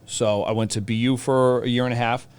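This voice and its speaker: A man's voice: mid-range voice, flat delivery, fairly quick, clean tone. A man in his forties with a Boston accent, chatting casually as an interview guest, colloquial and bantering, repeating phrases for emphasis. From Boston, USA. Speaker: teacher and hockey coach, podcast guest.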